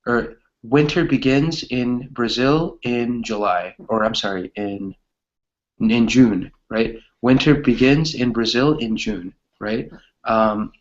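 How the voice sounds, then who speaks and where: Through dull, lo-fi audio, a man of medium pitch teaches English grammar. He explains with simple examples and encourages his students. He often ends a phrase with a brief checking question. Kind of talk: online group grammar lesson, explaining with examples.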